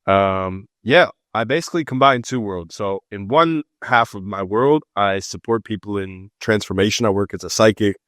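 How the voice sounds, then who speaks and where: A man's voice: lowish voice, normal speed, hardly any pauses, no room sound, clean studio sound. A man from Los Angeles, a psychic and mentor, chatting loosely and jokingly as a podcast guest. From Los Angeles, United States. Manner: relaxed, joking, loose conversational talk. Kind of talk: casual podcast interview.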